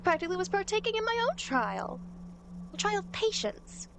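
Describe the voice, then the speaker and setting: Demon girl guide for ASMR roleplay, played by a woman: high voice, smug, playful, theatrical teasing, some ambient noise behind. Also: sing-song, moderate pace, heavily acted. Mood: mock-exasperated. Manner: theatrical, teasing, mock-grand phrasing. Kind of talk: ASMR roleplay, acted monologue to the listener.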